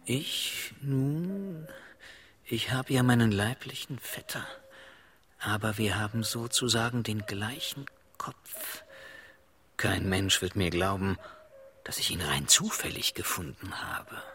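A man's voice: fairly low pitch, slow pace, pushed and bright, full character voice. A man playing a reluctant, awkward conspirator in a radio play, speaking hesitantly. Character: reluctant, awkward conspirator offering his own cousin. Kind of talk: German radio play acting.

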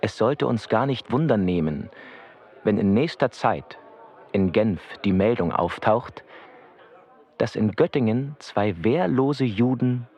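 An actor playing a smug, formal 1930s newspaper reporter, reading reports precisely in a radio play. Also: male voice, lowish voice, animated, slow, darkish tone, heavily acted.

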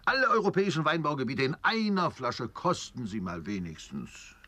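A washed-up swindler tending bar in a radio play. He is pompous and ingratiating, with a rough husky voice that rises and dips theatrically. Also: medium pitch, big pitch swings, moderate pace, sharp tone, dry recording. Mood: wheedling. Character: boastful, ingratiating barman and washed-up marriage swindler. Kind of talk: German radio drama acting.

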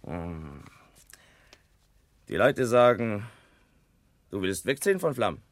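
An actor playing a cocky, sly, swaggering village bully with a teasing manner in a German radio play. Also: male voice, fairly low pitch, big pitch swings, slow pace, dry recording. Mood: insinuating, boastful.

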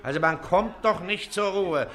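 An actor in a German radio play playing a pompous, impatient Prussian magistrate who talks in an officious, dismissive way. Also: male voice, high-pitched, moderate pace, crowd noise, natural voice. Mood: indignant.

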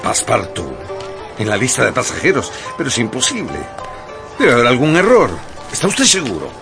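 An actor playing an urgent, commanding gentleman traveller in a radio drama, emphatic. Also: medium pitch, wildly swooping pitch, a little fast, gravelly. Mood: perplexed, insistent. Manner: emphatic, swinging from muttering to shouted orders. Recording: music behind the voice.

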